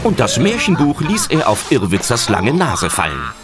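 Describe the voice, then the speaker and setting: A man's voice: medium pitch, huge pitch swings, moderate pace. A man narrating a children's fantasy audio drama in a scripted storytelling style.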